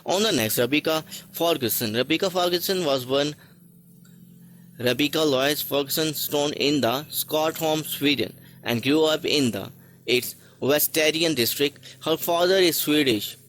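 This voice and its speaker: A man narrating a list video from a script, reading haltingly with stray articles and restarts. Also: a little high, fairly bright tone, rough recording. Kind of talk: read-aloud list narration.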